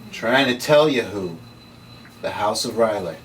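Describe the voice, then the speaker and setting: A man's voice: fairly low pitch, expressive, measured pace, fairly bright tone. A man playing a sardonic, world-weary noir occult investigator with slangy delivery in a script table read. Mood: insistent. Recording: distant mic, noisy room.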